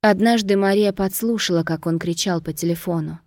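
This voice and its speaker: A woman's voice: mid-range voice, a little fast, a little mellow, no room sound, clean studio sound. A woman reading a novel as a scripted audiobook narration.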